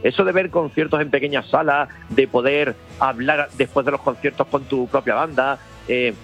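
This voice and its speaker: A man's voice: medium pitch, steady tone, moderate pace, fairly bright tone, highs cut off, soft background music. A man, a festival organizer talking enthusiastically in a casual radio interview, rambling with many restarts.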